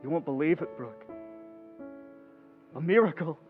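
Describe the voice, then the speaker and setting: A man's voice: fairly high pitch, animated, unhurried, narrow, tinny audio, over music. An actor playing an eager, slightly awkward young man, emphatic in an old-time radio drama. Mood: excited.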